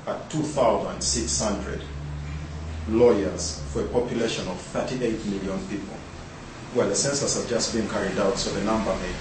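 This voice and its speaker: A man's voice: fairly low pitch, noisy background. Man presenting in an echoey hall. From Uganda. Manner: rhetorical, repeated questions. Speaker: conference presenter. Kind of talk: conference presentation. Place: large conference hall. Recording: echoey hall, speaker far from mic.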